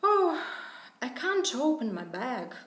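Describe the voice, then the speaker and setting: A woman's voice: high-pitched, sing-song, takes it slowly, fairly bright tone, heavily acted. Woman doing a scheming miserly farmer. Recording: roomy echo.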